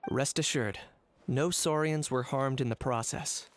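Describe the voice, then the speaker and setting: An actor playing a cool, dry-witted young male adventurer in a video game, speaking in a measured, deadpan, articulate way. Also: medium pitch, lightly acted. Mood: matter-of-fact.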